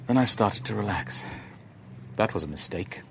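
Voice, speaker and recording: Wary shipowner in a radio drama, low measured voice, polite, bit of background noise. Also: male voice, animated, creaky, a bit bright. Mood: measured, uneasy. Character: composed, wary businessman and shipowner narrating his ordeal. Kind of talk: radio drama narration and dialogue.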